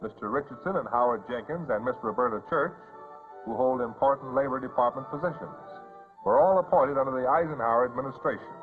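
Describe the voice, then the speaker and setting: A man's voice: mid-range voice, fast talker. A man with an American accent reading scripted 1950s newsreel narration in a formal announcer's delivery. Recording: tinny vintage newsreel soundtrack, band-limited. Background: loud background music.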